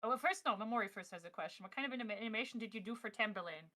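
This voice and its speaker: A woman's voice: mid-range voice, very fast, pushed and bright. A woman hosting a casual livestream and passing on questions, informal and encouraging. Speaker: livestream host relaying chat questions.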